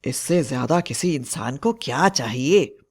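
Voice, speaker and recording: A man's voice: mid-range voice, lively intonation, a little slow, husky. A man playing an earnest village fellow, speaking dramatically in a cartoon horror story. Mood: admiring. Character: village men, friends anxious over a witch.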